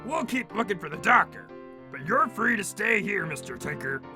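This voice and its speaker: A man's voice: high voice, big pitch swings, moderate pace, sharp tone, heavily acted. A man doing a brash crocodile detective. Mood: confident. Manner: brash, bossy. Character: brash loud male crocodile detective, bossy. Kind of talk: fan comic dub. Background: background music.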